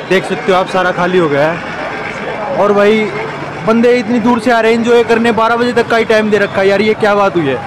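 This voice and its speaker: A young man vlogging on a crowded street at night, talking loudly and excitedly into a handheld phone. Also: high-pitched. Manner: loud, excited, chatty. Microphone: phone camera at arm's length. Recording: loud crowd noise outdoors. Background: cheering crowd.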